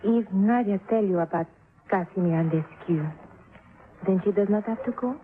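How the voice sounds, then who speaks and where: A woman's voice: medium pitch, normal speed, natural voice. An actress playing a sly, coaxing young woman who speaks broken English, in a 1950s radio drama. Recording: tinny old radio broadcast.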